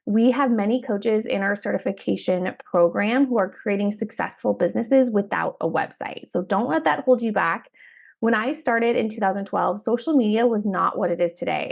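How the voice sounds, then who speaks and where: A woman in her thirties hosting a solo podcast, talking in a direct, upbeat coaching manner, her voice slightly hoarse from a cold. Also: medium pitch. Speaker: podcast host and career coach trainer.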